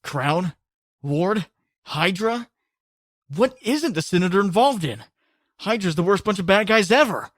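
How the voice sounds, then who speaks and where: A man's voice: sits high, animated, a little slow, long pauses. A man voicing an earnest young superhero who also narrates, in a fan comic dub. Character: young male web-swinging hero, married. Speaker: narrator and lead voice actor.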